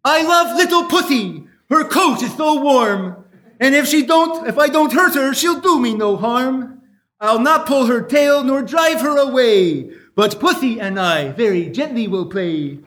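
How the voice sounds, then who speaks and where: A goofy cartoon moose with a strikingly high voice. He declaims like a self-important poetry lover, grand and theatrical, in a live radio variety sketch. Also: animated, unhurried, a bit bright, heavily acted. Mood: grandly reciting. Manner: pompous, theatrical recitation.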